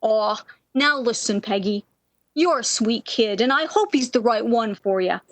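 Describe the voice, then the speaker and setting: A woman's voice: on the high side, measured pace, no room sound. A woman playing a brassy, motherly and worldly-wise night switchboard operator in 1930s radio style, slangy and teasing with endearments. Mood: skeptical, caring.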